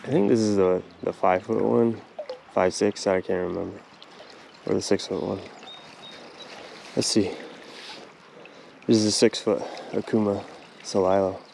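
Gravelly young man fishing outdoors. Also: fairly low pitch, a little slow, long pauses, a little hiss or hum. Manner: excitable, swears when fish strike. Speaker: fishing vlogger. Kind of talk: casual running commentary while fishing. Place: outdoors on a riverbank. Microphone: head-mounted action camera. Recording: music playing under the voice.

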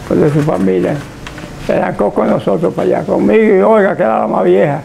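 Really old dominican man, super gravelly high voice, stops and restarts a lot, lapel mic in a living room. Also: warm tone, a little hiss or hum, rough recording. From Dominican Republic. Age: older. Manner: halting, repeats and restarts words, short assents. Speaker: interviewee. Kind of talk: recalling his life in a home interview.

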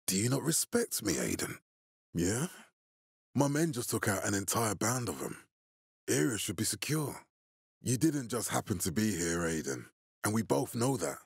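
An actor playing a menacing middle-aged military leader in a video game, authoritative, curt and measured. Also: male voice, fairly low pitch, creaky, slightly rough, dry recording, clean studio sound, exaggerated voice. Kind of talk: dramatic video game voice acting.

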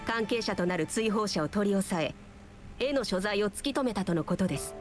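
An actress playing a composed, authoritative judge in a Japanese anime game, speaking in formal, official phrases. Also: female voice, medium pitch, lively intonation, fairly quick, faint music behind, natural voice. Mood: stern, formal.

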